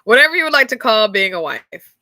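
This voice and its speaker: A woman's voice: fairly high pitch, big pitch swings, fast talker, bright, forceful tone, no room sound. A woman, hosting a faith-centered podcast and talking candidly, with lots of fillers. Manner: candid, chatty, frequent fillers and laughs. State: stuffy nose. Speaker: podcast host. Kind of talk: casual faith-centered chat.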